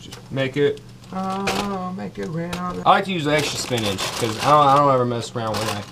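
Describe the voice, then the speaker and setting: A man's voice: fairly high pitch, a little fast, noisy background. A young man in his twenties, brash and boastful, hosting a comedic cooking show from a small kitchen. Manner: brash, boastful, loud whoops.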